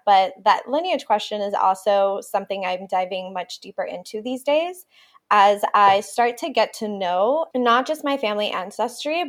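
A woman who grew up in Puerto Rico, a native Spanish speaker, talking reflectively as a yoga teacher guest on a podcast. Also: mid-range voice. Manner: reflective, thoughtful, uses fillers like "you know". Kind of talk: podcast interview.

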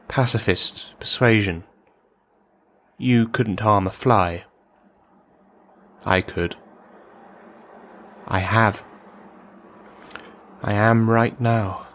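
A man's voice: fairly low pitch, steady tone, slow, pauses often, a bit bright, slight background noise. A man playing an unhinged, paranoid loner who rambles on, taunting and insulting whoever is in the room, in an audio drama. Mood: rambling. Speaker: radio play performer. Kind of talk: radio drama monologue.

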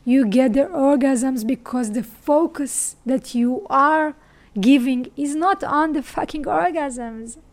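Israeli woman, high voice, podcast host, emphatic and spiritual monologue. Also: takes it slowly. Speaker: sex coach and podcast host. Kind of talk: personal monologue.

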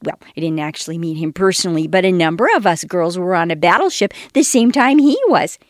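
A woman's voice: lowish voice, fairly quick, no room sound, clean studio sound, lightly acted. A woman playing a chatty, randy old widow in a radio comedy sketch, gushing and rambling theatrically. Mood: coy, reminiscing.

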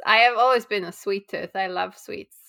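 A woman's voice: mid-range voice, moderate pace, vocal fry, pushed and bright. Teasing, blunt woman podcast host. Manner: blunt, teasing, matter-of-fact. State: pregnant. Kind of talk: casual chat.

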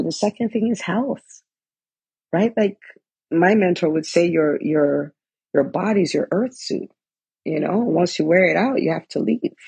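Husky woman in her 40s, dry close sound, chatty coaching talk, keeps asking right?. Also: fairly low pitch, darkish tone, no echo. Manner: earnest, lots of "right?" and "like". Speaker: coach for physicians in business. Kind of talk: casual motivational talk.

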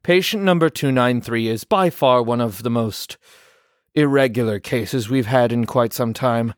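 A man's voice: medium pitch, a little slow, no echo, studio quality, close to an everyday voice. A man playing a wry doctor in an audio drama, dictating case notes in a formal, sardonic, wordy way. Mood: dry, wry. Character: dry, wry doctor reporting on patients.

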